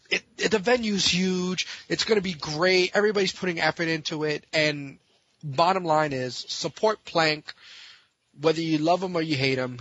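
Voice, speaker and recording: A man's voice: fairly high pitch, pushed and bright, dull audio, slight background noise. A man talking casually and passionately as a podcast guest, blunt and emphatic, urging listeners on. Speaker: podcast guest, gaming tournament organizer. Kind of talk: impassioned casual opinion talk.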